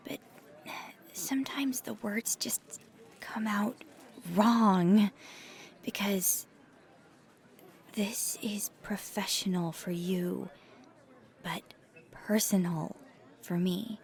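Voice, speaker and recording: A woman playing a nervous, earnest paranormal investigator in an ASMR audio roleplay, speaking softly and haltingly with thoughtful, breathy hesitations. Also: medium pitch, fairly even intonation. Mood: careful, earnest.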